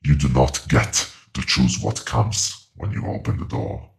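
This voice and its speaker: An actor playing an ancient, sorrowful otherworldly witness to the dead in a horror audio drama, solemn and ominous in measured phrases. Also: male voice, rumbling low, fairly even intonation, creaky, exaggerated voice. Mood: pained, grave.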